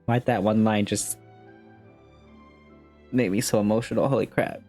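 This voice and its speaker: A man's voice: fairly low pitch, steady tone, normal speed, darkish tone. A man giving casual, reactive commentary as he plays through a video game story. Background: faint game music.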